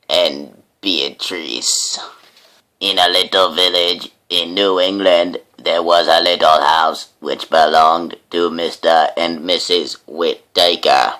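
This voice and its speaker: A man with a low, bright and forceful voice reads a children's storybook. His delivery is theatrical and deliberate, like a narrator giving a dramatic reading. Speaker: storybook reader, host.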